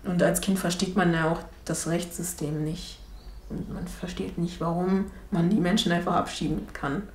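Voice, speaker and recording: A woman's voice: on the low side, steady tone, moderate pace, a little mellow, slight background noise. Young woman speaking thoughtfully, roomy sound. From Germany. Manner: thoughtful, hesitant, many fillers and restarts. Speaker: documentary interviewee. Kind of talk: reflective documentary testimony. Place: small room indoors and street outdoors.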